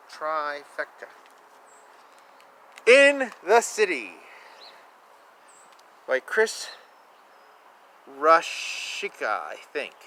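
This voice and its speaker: A man reading children's picture books aloud in a playful, expressive way, with casual asides and repeated phrases. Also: high-pitched. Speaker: children's book reader. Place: outdoors. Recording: noisy outdoor ambience. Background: outdoor ambience, animal and vehicle sounds.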